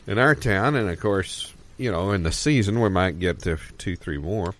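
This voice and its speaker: A man playing a folksy, nosy, excitable county fair local in an improvised comedy sketch, with a rambling, drawling way of talking. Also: low voice, normal speed. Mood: curious, incredulous.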